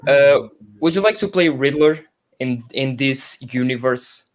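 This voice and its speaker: A man co-hosting a casual livestream interview, asking questions in hesitant, halting phrases. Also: mid-range voice. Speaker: co-host, interviewer.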